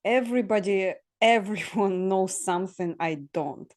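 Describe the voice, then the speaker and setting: A woman host speaks very slowly at a medium pitch in a casual conversation. Her delivery is thoughtful and hesitant, broken into short phrases. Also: a little mellow. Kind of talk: casual discussion.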